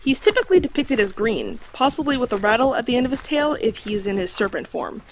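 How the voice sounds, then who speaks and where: A woman voicing a learned talking dog in an audio drama, lecturing precisely and calmly on lore. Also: fairly high pitch, fast talker, slight background noise, poor audio. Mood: lecturing, composed. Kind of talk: audio drama acting, explanatory storytelling.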